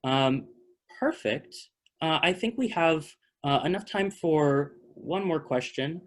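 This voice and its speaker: A man's voice: a little high, takes it slowly. A man hosting a post-show theater talkback over a video call, speaking conversationally and thinking aloud as he fields questions. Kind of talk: casual question-and-answer hosting.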